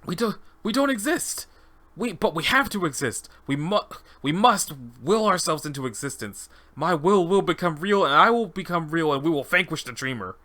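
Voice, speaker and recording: A man's voice: on the high side, lively intonation, moderate pace, sharp tone, voice pushed up. A man voicing an agitated male convict test subject unraveling into madness, bewildered and stammering, in a dramatized interview log. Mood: manic, fervent.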